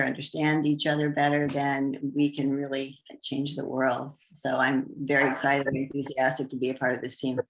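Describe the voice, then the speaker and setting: Low, flat-voiced woman therapist. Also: low-quality recording. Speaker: family therapist. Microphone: video call.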